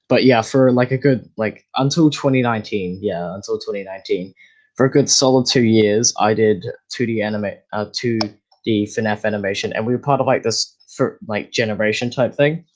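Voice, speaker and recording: A man's voice: on the low side, poor audio. A young man chatting casually as a podcast guest, rambling with lots of fillers and restarts. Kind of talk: casual chat.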